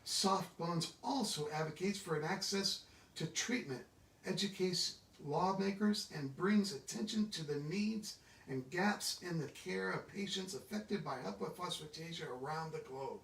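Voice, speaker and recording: A man's voice: high-pitched, a little slow, vocal fry, a bit bright. A man reading a children's book aloud, sometimes stumbling over long words. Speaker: book reader. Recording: echoey room, distant microphone.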